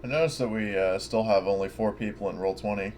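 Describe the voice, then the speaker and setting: A man's voice: on the low side, quick pace, darkish tone. A man playing a tabletop game, chatting casually with his group over a noisy connection. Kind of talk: casual chat.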